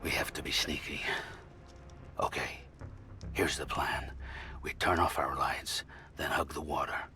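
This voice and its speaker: A man voicing a cautious mutant stalker in a video game, gruff and terse, giving hushed commands. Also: fairly even intonation, measured pace, whispered, exaggerated voice. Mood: tense, stealthy. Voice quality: very deep, gruff. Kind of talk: video game character acting.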